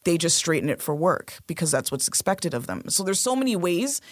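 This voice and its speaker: Woman guest talking straight, kinda low voice, dry sound. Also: sing-song, fairly quick, no room sound. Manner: direct and emphatic. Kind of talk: interview conversation.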